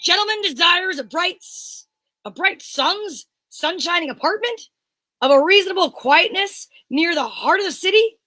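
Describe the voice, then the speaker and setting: Flippant female rental clerk played by a woman, very high voice, offhand, stumbling delivery, amateur radio comedy. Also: lively intonation, moderate pace, pushed and bright, full character voice, poor audio. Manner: offhand, stumbling over the reading. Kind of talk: amateur old-time radio play.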